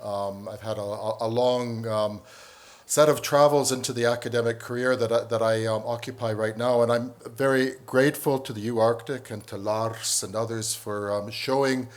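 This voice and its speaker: A middle-aged man from northern Canada, a professor giving a conference talk, full of pauses and restarts. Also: medium pitch, fairly even intonation. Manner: hesitant, many ums and restarts. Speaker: professor and panel speaker.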